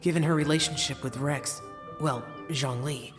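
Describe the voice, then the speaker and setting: A man's voice: medium pitch, steady tone, normal speed, long reverb tail, music in the background, natural voice. A man playing an aloof, brooding youthful immortal guardian in a video game, speaking tersely and solemnly.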